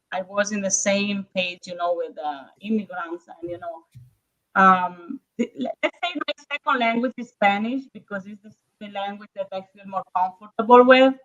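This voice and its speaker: A woman's voice: medium pitch, lo-fi sound. A woman from northern Italy with an Italian accent, speaking English as a second language, chatting as a podcast guest with hesitant fillers and restarts. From Verona, northern Italy. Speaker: guest realtor. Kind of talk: casual interview chat.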